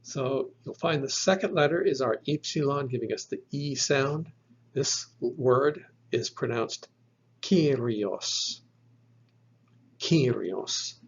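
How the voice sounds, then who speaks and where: Slow, gravelly man teaching pronunciation. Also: mid-range voice, expressive, muffled recording. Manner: slow, methodical, repeats each sound for the listener. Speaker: Greek language teacher. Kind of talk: recorded lecture on pronunciation.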